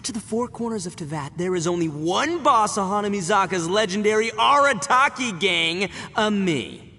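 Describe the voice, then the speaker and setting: An actor voicing a boastful, rowdy male oni gang boss in a video game, brash and quick to laugh. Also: high-pitched, animated, slight background noise, exaggerated voice. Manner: brash, loud, laughs a lot. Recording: game audio with long reverb.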